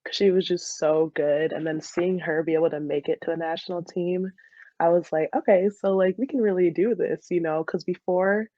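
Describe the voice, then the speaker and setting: A woman's voice: lowish voice, steady tone, normal speed, warm tone, dry recording. Young woman chatting casually, slightly dull audio. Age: young adult. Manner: heavy use of "like" as she talks. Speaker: soccer player interviewed as guest. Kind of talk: casual interview chat.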